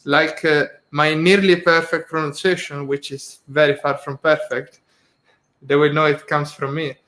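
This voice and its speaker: A man's voice: a little high, level tone, normal speed, fairly bright tone. A man hosting a casual live stream interview, chatty and easygoing, with lots of "uh" fillers. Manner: chatty, laughs often, many "uh" fillers.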